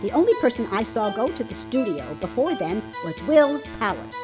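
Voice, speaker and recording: Woman acting a feisty old lady guard, lively pitch. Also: moderate pace, over music, low-quality recording. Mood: assured, matter-of-fact. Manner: folksy, sassy, scolding. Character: feisty, folksy older female security guard witness. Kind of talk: video game fan voice acting.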